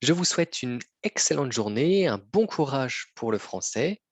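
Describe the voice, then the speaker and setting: A man teaching a slow, patient lesson to learners, repeating phrases and often checking that they follow. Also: mid-range voice, very animated, slightly rough, dry recording. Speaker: French teacher.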